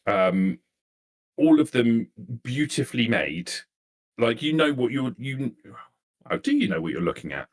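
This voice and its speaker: A man's voice: medium pitch, sing-song, unhurried. A man improvising narration as a game master, hesitant and repeating words as he searches for the right one.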